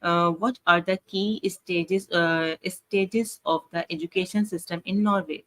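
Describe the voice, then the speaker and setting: A woman's voice: on the low side, steady tone, measured pace, a bit bright. A woman from Pakistan, hosting an online interview show and speaking hesitantly with many fillers. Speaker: host. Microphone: video call.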